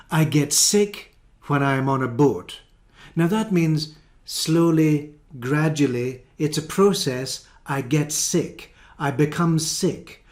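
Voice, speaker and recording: Male ESOL teacher, slow deliberate delivery, patient step-by-step explanations. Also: on the high side. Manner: careful, repeats and rephrases each example. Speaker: English teacher. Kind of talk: slow explanatory grammar lesson.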